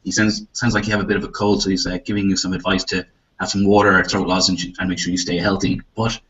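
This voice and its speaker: A man hosting an online show, briskly passing on audience questions. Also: deep voice, flat delivery, a bit bright. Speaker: host. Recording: distant, dull lo-fi web call.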